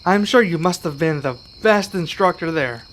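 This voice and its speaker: A man's voice: fairly high pitch, animated, normal speed, fairly bright tone, a little hiss or hum. A man playing an earnest young werewolf learning magic in an ASMR roleplay, speaking to one listener with some hesitation. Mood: grateful, admiring.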